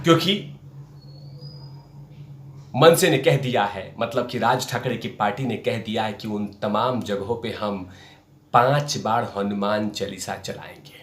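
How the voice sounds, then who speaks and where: A man's voice: fairly low pitch, fairly bright tone, slight background noise. A man hosting a political news commentary, speaking emphatically and repeating phrases for effect. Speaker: host of a political commentary show. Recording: roomy, echoing sound.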